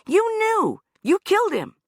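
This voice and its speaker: A woman's voice: sits high, huge pitch swings, moderate pace, a bit bright, no room sound, studio quality, voice pushed up, heavily acted. A woman narrator voicing a tough, angry woman who swears and threatens a man she has pinned down. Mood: furious.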